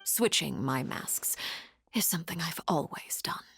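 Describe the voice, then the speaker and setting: An actress playing a commanding, enigmatic woman of high rank in a video game, speaking in a measured, formal, coolly intimidating way. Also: animated, creaky, a bit bright, whispered, heavily acted. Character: commanding, enigmatic female harbinger, called Father by her wards. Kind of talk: dramatic video game voice acting.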